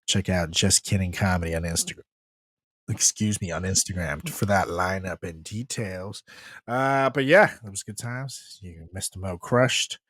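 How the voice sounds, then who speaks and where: Mężczyzna, żartobliwy współprowadzący podcast, sucho nagrany. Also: male voice, sits low, unhurried, a bit bright, breathy edge, dry recording. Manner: joking, laughs often, hypes the show. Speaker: podcast co-host. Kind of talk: casual chat.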